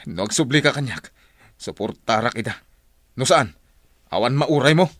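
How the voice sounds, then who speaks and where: A man's voice: medium pitch, lively intonation, moderate pace, a bit bright. A man acting as a bitter estranged husband and father in a radio drama, confrontational and demanding. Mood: angry, threatening.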